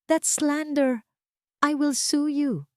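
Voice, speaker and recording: Woman voicing a shrill, furious rich fiancée. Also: fairly even intonation, slow pace, no echo, studio quality. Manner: shrill, screaming, contemptuous.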